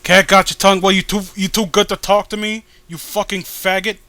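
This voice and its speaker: A man's voice: high-pitched, flat delivery, a little fast, bright, forceful tone, dry recording. A man giving casual, rambling and profane commentary as he co-hosts a read-along. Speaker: co-host of a comic read-along video.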